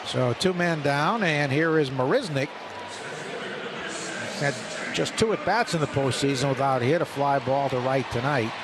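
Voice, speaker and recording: A male radio play-by-play announcer with an American accent calling a baseball game, measured and lifting on big plays. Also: mid-range voice. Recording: radio broadcast with crowd noise behind.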